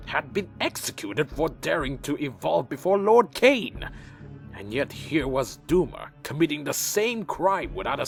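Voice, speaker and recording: Man playing a high, proud vampire leader. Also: creaky, exaggerated voice. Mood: bitter, baffled. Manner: dramatic, earnest. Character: proud, indignant winged vampire leader, troubled and defiant. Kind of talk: first-person scripted narration and audio drama acting.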